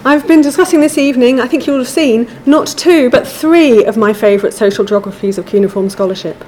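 A woman giving a measured, formal academic lecture from a prepared text. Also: on the high side, a little mellow, slight background noise. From England.

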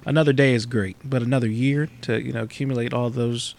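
Podcast co-host, male, thirty. Creaky, gravelly mid-range voice, laid-back, informal, swears. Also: mid-range voice, fairly even intonation, quick pace, a little mellow. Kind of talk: casual podcast chat.

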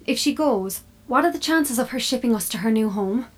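Actress doing a proud opinionated smart fridge, bit high, fussy and haughty, radio play style. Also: female voice, expressive, a little fast, lightly acted. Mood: anxious, indignant. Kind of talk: audio drama acting.

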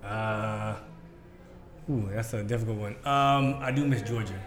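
An American man in his thirties, a podcast guest talking in a relaxed, thoughtful way with many fillers. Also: lowish voice, a little slow. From United States. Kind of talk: casual chat.

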